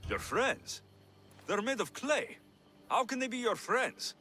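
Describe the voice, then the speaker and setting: An actor playing a tough but wry and kindly mercenary in a video game. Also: male voice, extremely high pitch, big pitch swings, slow pace, pushed and bright, soft background music, exaggerated voice. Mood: puzzled, concerned. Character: tough male Greek mercenary, gruff but kind, wry. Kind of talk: video game cutscene acting.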